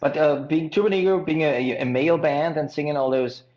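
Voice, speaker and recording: A man's voice: fairly high pitch, fairly even intonation, darkish tone. A man hosting a podcast interview, asking long questions with frequent stumbles and restarts. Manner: halting, stumbles over words. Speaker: podcast host.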